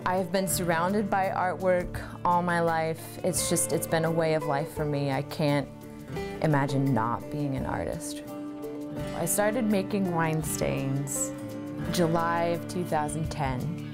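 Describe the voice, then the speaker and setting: A woman's voice: sits low, fairly even intonation, a little slow, loud music behind the voice. A woman in her thirties, an artist, talking thoughtfully about her work in an interview, recorded on a lapel mic in her cluttered home studio. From United States.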